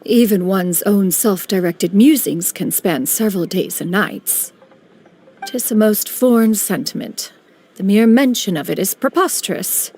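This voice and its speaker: A woman's voice: medium pitch, a little slow, darkish tone. A woman voicing an ancient, proud female bird spirit who speaks in a pompous, archaic manner, calling herself one. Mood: haughty. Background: game music.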